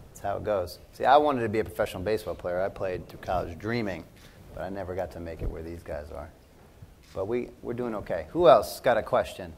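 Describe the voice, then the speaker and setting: A man's voice: low voice, brisk, creaky, a little mellow, a little hiss or hum. A middle-aged man from Chicago moderating a question session for children, upbeat and encouraging, repeating their questions back. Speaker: event moderator. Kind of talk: hosting a Q&A for children.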